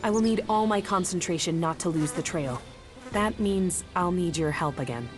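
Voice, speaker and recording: A woman's voice: fairly low pitch, normal speed, soft background music, lightly acted. An actress playing an earnest, intense woman seer in a video game, with a searching manner. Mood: eager, intent. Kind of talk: video game cutscene acting.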